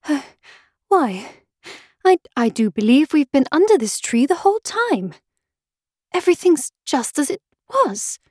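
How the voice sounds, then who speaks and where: A woman's voice: mid-range voice, big pitch swings, slow, darkish tone, no echo, studio quality, heavily acted. A woman voicing a polite, puzzled little girl in a storybook, earnest and hesitant. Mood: breathless, puzzled.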